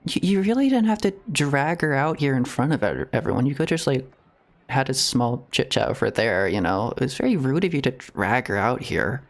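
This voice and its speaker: A man's voice: mid-range voice, big pitch swings, moderate pace. A man giving casual live game commentary as a streamer, chatty and musing.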